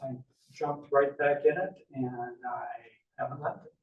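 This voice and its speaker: A man's voice: fairly high pitch, a little slow, dull audio. Older man sculptor, distant and echoey. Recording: echoey room from afar.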